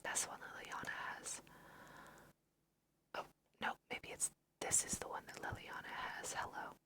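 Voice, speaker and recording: A woman whispering a casual, musing ASMR let's play, talking to her viewers as she goes. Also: normal speed. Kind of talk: whispered ASMR game commentary.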